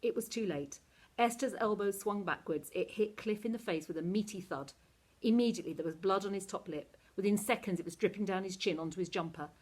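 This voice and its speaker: A woman reads a story aloud like a teacher to a class. Her narration is expressive and animated, with drama in the telling and a middling pitch. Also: brisk, breathy edge. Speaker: teacher reading a novel aloud.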